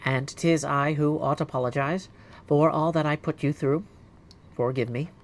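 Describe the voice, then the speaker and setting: A man voicing a stern, dignified witch headmistress from a fantasy game, with formal, measured, archaic speech. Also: fairly high pitch, steady tone, natural voice. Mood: solemn, regretful. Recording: clear background noise.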